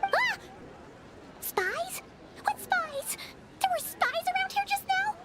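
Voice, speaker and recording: Squeaky, very high childlike fairy companion. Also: female voice, slow, noisy background, full character voice. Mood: startled. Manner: excitable, refers to self in third person. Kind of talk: video game voice acting. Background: game music.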